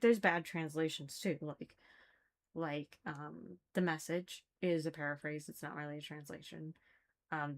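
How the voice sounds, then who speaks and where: Slow-talking woman, halting and critical. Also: sits low. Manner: halting, repeats phrases for emphasis, critical. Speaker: reader commenting on a religious text. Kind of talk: casual spoken commentary, thinking aloud.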